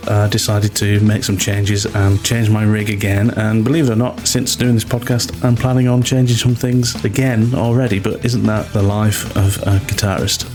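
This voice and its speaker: A man's voice: lowish voice, a little mellow. A British man talking casually as the host of a podcast.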